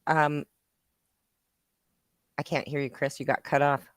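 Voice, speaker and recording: A middle-aged woman speaks slowly and casually as a show guest. Her voice is clear and smooth, recorded dry with little room sound. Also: deep voice, flat delivery, no room sound. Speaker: podcast guest. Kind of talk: casual chat. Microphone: laptop on an online video call.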